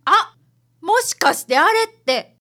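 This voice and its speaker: A woman's voice: extremely high pitch, lively intonation, slow, pushed and bright, exaggerated voice. A woman playing an eager, curious client with a Kansai accent in a radio drama. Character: eager, curious female client tagging along. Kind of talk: community radio drama acting.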